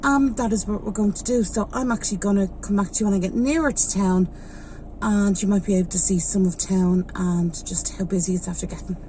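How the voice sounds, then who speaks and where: Irish woman, 40s, rambling car-vlog chatter, mellow tone, faint background hiss, slightly blocked nose. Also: medium pitch, steady tone, fairly quick, poor audio. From Ireland. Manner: chatty, rambling, loses her thread. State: slightly stuffed up with a sore throat. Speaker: vlogger. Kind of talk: casual vlog chat while driving. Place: inside a car in traffic.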